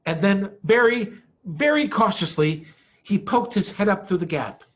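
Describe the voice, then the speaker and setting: A middle-aged man reading a children's story aloud expressively, with deliberate dramatic emphasis. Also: high voice, animated. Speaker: storybook reader, head of school. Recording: dull, muffled lo-fi audio.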